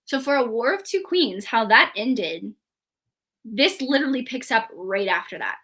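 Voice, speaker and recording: A woman co-hosting a book discussion, chatting casually in an animated, emphatic, informal way. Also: a little high, moderate pace. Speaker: book review show co-host. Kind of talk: casual chat about books.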